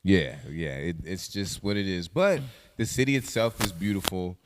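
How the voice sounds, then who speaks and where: Animated man in a reverberant room. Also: low voice, moderate pace, background noise. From Southern California. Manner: animated, swearing, laughing often. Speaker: podcast host. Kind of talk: casual podcast chat.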